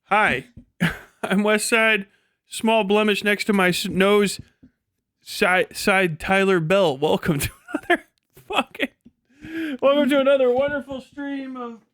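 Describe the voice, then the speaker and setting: A man's voice: high voice, measured pace, fairly bright tone, no echo, clean studio sound. A man in his late thirties hosting a casual livestream, rambling and swearing freely with a self-deprecating manner. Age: late 30s. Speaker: livestream host.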